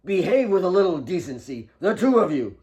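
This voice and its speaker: Man acting a gruff, exasperated old father, raised pitch. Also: very animated, brisk, warm tone, poor audio. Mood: angry, exasperated. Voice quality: gasping, coughing delivery.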